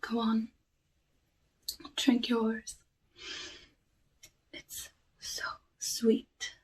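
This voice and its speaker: Woman as lonely witch of the woods for ASMR roleplay: soft, whispery, very slow, courteous with sly menace, slightly distant with faint hiss. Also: fairly high pitch, monotone. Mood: coaxing. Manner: hushed, slow, deliberate, formal old-fashioned phrasing.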